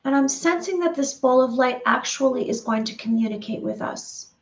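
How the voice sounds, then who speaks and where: A woman leading a guided visualization meditation, speaking slowly with soothing repetition and pauses. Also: high voice, far from the mic.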